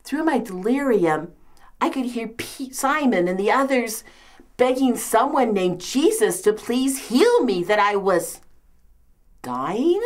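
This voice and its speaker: A woman's voice: fairly high pitch, sing-song, slow. A woman playing a complaining, martyred old mother-in-law from biblical times in a comedic monologue, with a New York accent and theatrical delivery. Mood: dramatic recollection. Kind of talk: comedic dramatic monologue, performed.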